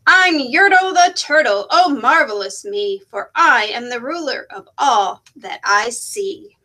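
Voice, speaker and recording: A boastful turtle king from a children's storybook, acted out by a woman reader. The voice is high and forceful, with unhurried, pompous delivery. He exclaims and orders like a bossy ruler who thinks very well of himself. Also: expressive, exaggerated voice. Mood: gloating. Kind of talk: children's storybook read-aloud.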